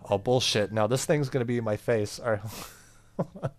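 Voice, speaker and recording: A man's voice: medium pitch, measured pace. A man playing a horror game, reading its story text aloud dramatically and commenting casually. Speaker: gaming YouTuber. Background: game music.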